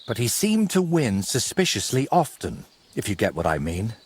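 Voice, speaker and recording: An actor playing a wry, courteous, middle-aged medieval painter in a video game, with a husky voice and a wordy, theatrical way of talking. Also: male voice, mid-range voice, very animated, normal speed, a little mellow. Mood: indignant storytelling. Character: wry, wordy ageing medieval painter, robbed but polite. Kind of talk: game cutscene dialogue.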